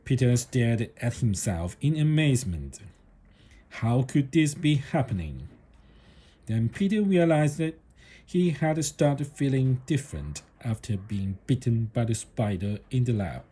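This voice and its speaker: Male storybook reader: mellow, a little husky, unhurried and deliberate, expressive in pitch. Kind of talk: read-aloud children's story narration.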